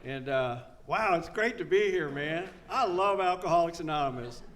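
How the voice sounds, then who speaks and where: A man in his seventies speaks at a recovery meeting with a voice pitched a little higher than most men's. He is folksy and earnest, and he repeats his key lines so they land. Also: lively intonation, moderate pace, fairly bright tone, slight background noise. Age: 70s. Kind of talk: personal story told to a live meeting.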